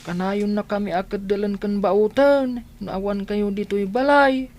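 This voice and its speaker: A woman's voice: mid-range voice, fairly even intonation, measured pace, noisy background, close to an everyday voice. A woman with an Ilocano accent playing a plaintive young child who confides in a parent, in a radio drama. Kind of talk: Filipino radio drama acting.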